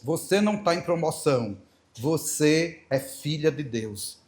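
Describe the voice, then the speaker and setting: A man's voice: on the high side, animated, unhurried, a little mellow. A middle-aged man from Paraíba, Brazil, with a Paraíba accent, preaching to a congregation, storytelling with playful asides and emphatic repetition. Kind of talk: church talk with Bible reading.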